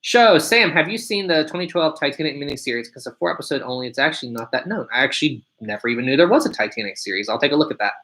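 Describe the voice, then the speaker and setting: A young man with a deep voice hosting a casual live stream, reading viewer questions aloud and answering them one by one. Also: animated, fast talker, hardly any pauses, bright, forceful tone.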